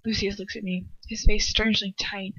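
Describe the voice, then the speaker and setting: A woman's voice: mid-range voice, flat delivery, brisk, a bit bright, breathy edge, no echo. Woman reading fiction aloud, dull recording. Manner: stumbling, repeats and corrects herself. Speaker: amateur fan fiction reader. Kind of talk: narrating a story in first person.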